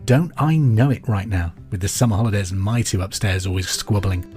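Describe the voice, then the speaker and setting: A middle-aged man hosting a pub quiz podcast, reading out trivia questions and answers in a clear, deliberate way. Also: fairly low pitch, darkish tone. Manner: clear deliberate delivery, repeats each question. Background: music bed.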